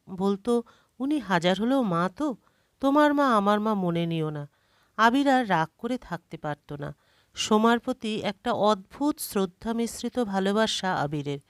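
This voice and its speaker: A woman's voice: mid-range voice, dry recording, clean studio sound. A woman reading a scripted short story as a storyteller.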